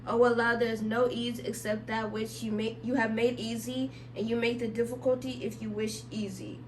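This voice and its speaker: A woman's voice: fairly high pitch, level tone, moderate pace. A woman podcast co-host in casual, joking chat, a little sick with a tickle in her throat. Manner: laid-back, joking, slangy. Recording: distant mic, faint hum.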